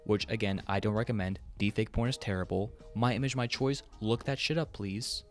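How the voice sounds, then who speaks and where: Fast-talking man over background music. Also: lowish voice, steady tone. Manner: blunt, casual slang and swearing. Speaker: video essayist, host. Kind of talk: opinionated scripted commentary.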